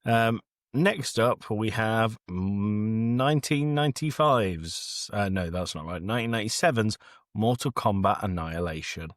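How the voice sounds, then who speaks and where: A man's voice: fairly low pitch, a little slow, no echo, studio quality. A British man co-hosting a podcast, casually running a quiz for the others.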